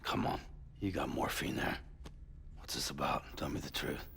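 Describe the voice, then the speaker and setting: An actor playing a terse, guarded, weary courier in a cinematic game, with a gravelly, curt delivery. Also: male voice, moderate pace, a bit bright, slight background noise, exaggerated voice. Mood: wary. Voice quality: gravelly, low.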